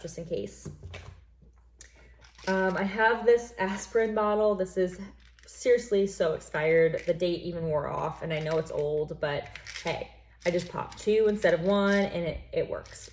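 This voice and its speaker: A young woman from the United States chatting casually through her bag in a vlog, rambling with lots of fillers and sounding congested from allergies. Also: lowish voice. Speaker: lifestyle vlogger.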